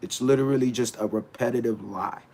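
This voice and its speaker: A man's voice: medium pitch, fairly quick, dark tone. A man on a livestream who rants bluntly and animatedly, restarting his words as he answers his chat. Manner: talks back to his chat. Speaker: battle rapper streaming to his chat. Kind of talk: casual livestream rant.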